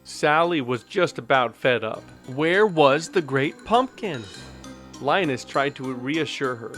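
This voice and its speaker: A storybook narrator with a mid-range voice reads a scripted children's tale. Music plays clearly underneath the read-along. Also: medium pitch, lively intonation, slow, fairly bright tone.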